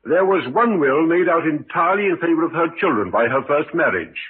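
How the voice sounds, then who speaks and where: An actor playing a polite, worried townsman in an old radio drama, husky and formal. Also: a little high, lively intonation, fairly quick. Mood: earnest. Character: polite, worried English townsman accusing a colonel. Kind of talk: 1950s radio drama acting. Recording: muffled, tinny old radio recording.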